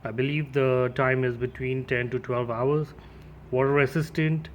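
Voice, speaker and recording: A man's voice: mid-range voice, fairly even intonation, unhurried, highs cut off, slight background noise. A man giving a casual, informal unboxing and demo as a gear reviewer, addressing his viewers directly.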